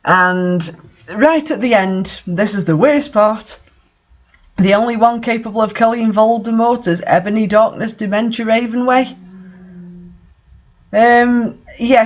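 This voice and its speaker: A man with a rather high-pitched voice reads a story aloud with sardonic commentary. He sounds exasperated by the material. The recording is somewhat dull and lo-fi. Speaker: reader and commentator of bad fan fiction. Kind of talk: story reading with mocking commentary.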